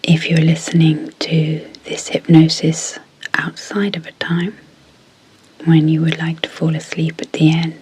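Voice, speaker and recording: A woman speaking in a soft, breathy whisper as an ASMR sleep hypnosis guide, deliberately and in short phrases with pauses. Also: deep voice, little pitch movement, a little hiss or hum, rough recording. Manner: slow, deliberate, pausing between short phrases.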